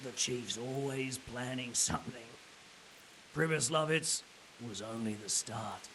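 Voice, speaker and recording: Cynical mercenary prisoner, creaky rasp, resigned, matter-of-fact, faint rainy hiss. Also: male voice, mid-range voice, measured pace, pushed and bright, rough recording. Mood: cynical, frank. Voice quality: gravelly. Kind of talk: dramatic video game dialogue.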